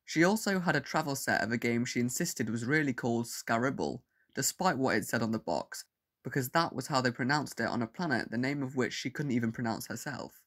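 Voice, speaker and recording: Mid-pitched male story narrator. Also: lively intonation, fairly quick, fairly bright tone.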